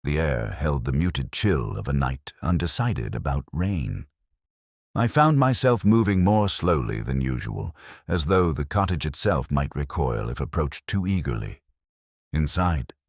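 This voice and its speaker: Very deep-voiced man narrating a detective story. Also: big pitch swings, dry recording, studio quality. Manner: measured, solemn, literary. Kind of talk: scripted first-person detective story narration.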